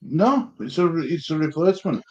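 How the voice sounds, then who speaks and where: A man chats casually on a live stream panel. His voice sits somewhat higher than most men's, and he talks informally as one of the panellists. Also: animated, normal speed, darkish tone, muffled recording. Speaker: watchalong panellist.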